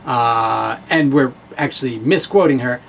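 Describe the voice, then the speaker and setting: A man's voice: medium pitch, measured pace, vocal fry, slight background noise. A man giving a dramatic reading of his own blog with casual asides, wry and playful with theatrical emphasis.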